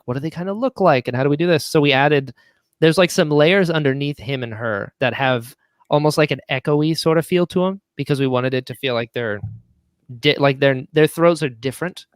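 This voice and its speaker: A man's voice: medium pitch, a little fast, clear, resonant tone, no room sound. A man chatting casually and enthusiastically as a podcast guest, telling production stories and acting out quoted remarks. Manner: enthusiastic, acts out quotes mid-story. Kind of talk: casual animated interview chat.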